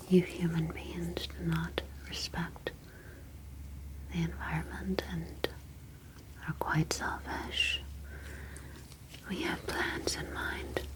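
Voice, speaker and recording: Woman whispering as a slow, calm alien scientist. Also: lowish voice, rough recording. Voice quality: breathy, unvoiced whisper. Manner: slow, soothing, deliberate, formal phrasing. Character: calm, superior ocean alien scientist experimenting on humans. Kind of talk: ASMR roleplay.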